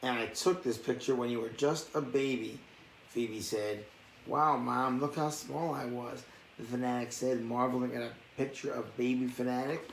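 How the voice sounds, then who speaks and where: A man's voice: mid-range voice, fairly even intonation, moderate pace, vocal fry. A man reading a bedtime story aloud, dropping in casual asides as he goes. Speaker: storybook reader. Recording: echoey room with faint background noise.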